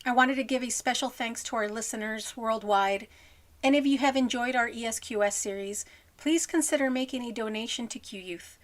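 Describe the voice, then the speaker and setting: A woman's voice: on the high side, brisk. A woman, a foundation director, reading a scripted thank-you and donation appeal. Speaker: foundation executive director giving an announcement. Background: music.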